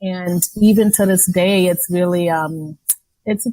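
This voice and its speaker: A woman's voice: on the low side, steady tone, measured pace, warm tone, dry recording, low-quality recording. A young adult woman from Rwanda, talking as an interview guest about her nonprofit in a candid, chatty way with fillers and restarts. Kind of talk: casual conversational interview.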